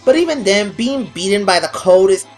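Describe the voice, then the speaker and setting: A woman gives a scripted review in a blunt, exasperated way, free with her swearing. Her voice sits at a middling pitch, with music playing behind it. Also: expressive, moderate pace. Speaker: online anime reviewer. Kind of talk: scripted video review commentary.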